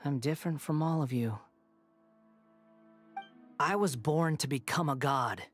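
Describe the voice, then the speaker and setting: An actor voicing an arrogant young male would-be god in a video game, talking down in a smug, mocking, slow lecturing way. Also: a little high, soft background music, close to an everyday voice. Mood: condescending.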